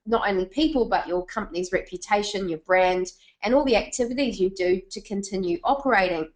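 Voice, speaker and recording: Kiwi-accented woman running an online business seminar, friendly and talkative, keeps checking in with the audience. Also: mid-range voice. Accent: New Zealand. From New Zealand. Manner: chatty, asks the audience questions. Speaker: webinar presenter. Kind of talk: presenting a business webinar.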